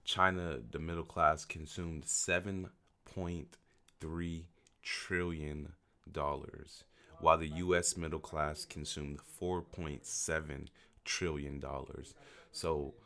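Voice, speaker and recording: A man hosting a casual solo podcast, a little sick and slightly raspy, talking conversationally with frequent "you know" asides. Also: a bass voice, fairly bright tone. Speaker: podcast host.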